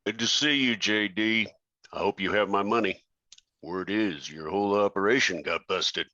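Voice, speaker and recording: An actor playing a menacing older crime boss and club-owning uncle in a scripted table-read drama, deliberate and threatening. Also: male voice, on the low side, sharp tone, no room sound, lo-fi sound. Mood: stern, menacing.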